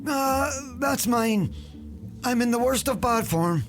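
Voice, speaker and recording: A man's voice: very high voice, expressive, takes it slowly, soft background music, heavily acted. A man playing a grumbling, foolish old uncle in a comic radio play, with a Donegal Irish accent. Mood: queasy, grumbling.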